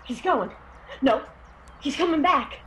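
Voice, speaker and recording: An older housewife in a radio drama, anxious and fussing. She speaks slowly in a somewhat high voice with homely, folksy phrasing. Faint noise sits behind her. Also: animated, a bit bright. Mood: anxious, watchful.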